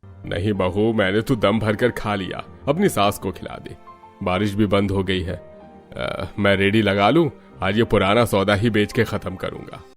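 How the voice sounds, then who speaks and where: A man's voice: on the low side, steady tone, a little slow, soft background music. A man voicing an older father-in-law, a plain-spoken poor vegetable seller, in a Hindi cartoon story. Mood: mild.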